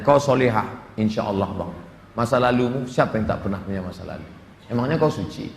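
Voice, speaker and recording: A man, an Islamic preacher answering audience questions in a humorous, conversational sermon with acted-out asides. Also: fairly low pitch. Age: 40s. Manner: humorous, conversational, with dramatic asides and Arabic quotations. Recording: echoey hall with long reverb, background noise.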